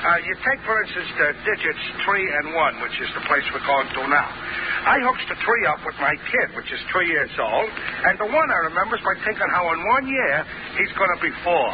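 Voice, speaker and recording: An actor playing a dim-witted, good-natured cab driver in an old radio drama, chattering in muddled, uneducated slang. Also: male voice, a little high, very animated, brisk. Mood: muddled, cheerful. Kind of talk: 1940s radio comic acting. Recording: muffled, noisy old radio recording.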